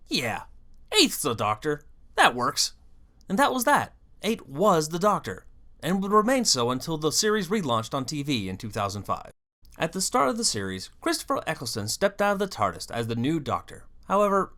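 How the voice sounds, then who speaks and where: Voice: medium pitch, fairly bright tone. A narrator hosting a scripted fan video essay, explaining things emphatically and theatrically, with wry asides.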